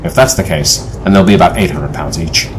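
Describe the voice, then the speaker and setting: An actor playing a decisive, steady team leader in an audio adventure drama, measured and formal. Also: male voice, deep voice, noisy background, natural voice. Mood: firm, planning.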